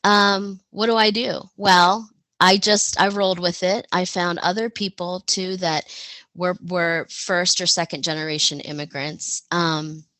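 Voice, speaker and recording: A woman giving a personal storytelling presentation as a panel speaker, halting and reflective as she goes. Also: on the low side, level tone, sharp tone, dry recording.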